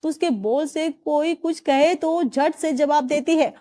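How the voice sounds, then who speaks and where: A weary older mother-in-law, put on by a woman narrator, her voice pitched low and sighing. She sounds burdened and troubled, talking slowly through her household worries. A storybook figure with a high, light base voice underneath. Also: dark tone.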